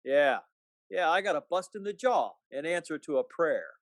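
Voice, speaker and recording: A troubled small-town husband played by a man in an old-time radio drama. His voice sits a little high and moves around expressively, halting and folksy with a sardonic, skeptical edge. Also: expressive. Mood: skeptical, puzzled. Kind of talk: 1940s-style radio play acting.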